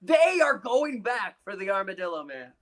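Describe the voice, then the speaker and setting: A casual review co-host with a very animated pitch that jumps around. The talk comes in halting bursts, with joking asides mixed in. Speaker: podcast co-host.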